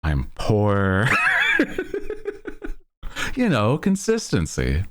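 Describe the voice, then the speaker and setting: Very deep-voiced, slow-talking male streamer. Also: wildly swooping pitch. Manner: rambling, self-deprecating, jokey. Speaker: VTuber livestream host. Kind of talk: casual chat with stream viewers.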